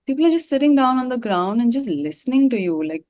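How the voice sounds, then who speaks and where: A woman's voice: high-pitched, big pitch swings, quick pace, a little mellow. A woman being interviewed as a singer, talking casually in a slangy, rambling way. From India. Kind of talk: casual chat.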